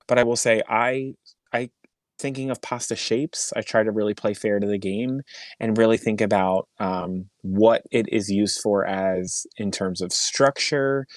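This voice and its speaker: Low-voiced podcast host, dry sound. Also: male voice, dry recording. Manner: measured, hedging, many fillers. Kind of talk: casual chat.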